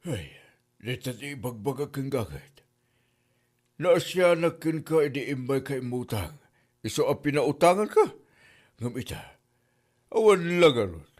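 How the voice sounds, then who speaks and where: A man's voice: mid-range voice, very animated, a little slow, long pauses, a little mellow. A man playing an exasperated older moneylender, scolding with an Ilocano Filipino accent in a comedy drama. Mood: aggrieved. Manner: scolding, exclaiming. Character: exasperated older male moneylender demanding repayment.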